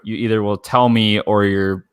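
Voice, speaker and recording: Male co-host, fairly low pitch, very dry recording, informal fan discussion. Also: level tone, moderate pace, clear, resonant tone, no echo. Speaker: podcast co-host.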